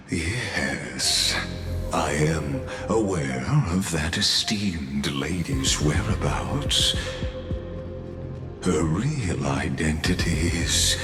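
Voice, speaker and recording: Deep, calculating villain. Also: male voice, full character voice. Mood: cool, composed. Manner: courtly, eloquent, deliberate, condescending. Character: calm, calculating male mastermind, grandiose scholarly villain. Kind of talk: theatrical video game villain monologue. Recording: echoing voice over game music.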